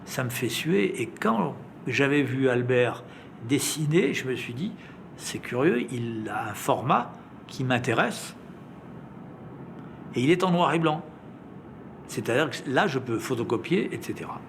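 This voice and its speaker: A man's voice: mid-range voice, big pitch swings, measured pace, gravelly, slight background noise. An older man telling stories in an interview, acting out remembered remarks as he goes. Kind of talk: conversational storytelling.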